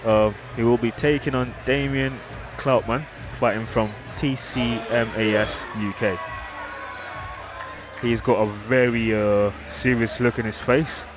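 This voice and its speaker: A man's voice: mid-range voice, fairly even intonation, measured pace, music in the background, crowd noise. A man giving casual, hesitant live commentary on a fight. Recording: noisy event hall ambience.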